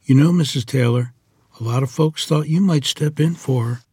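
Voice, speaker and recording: Man as a rural 1940s churchgoer, husky, mid-pitched, courteous, gently persuasive, dry recording. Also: normal speed, no room sound, lightly acted. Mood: earnest, persuasive. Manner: deferential, folksy, gently persuasive. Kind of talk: screenplay table read.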